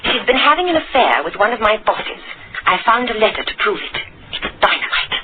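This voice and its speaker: Urgent young secretary, noisy muffled recording. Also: female voice, on the high side, very animated, a little fast. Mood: urgent, hurried. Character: eager, conspiratorial young female office secretary. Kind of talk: old-time radio drama acting.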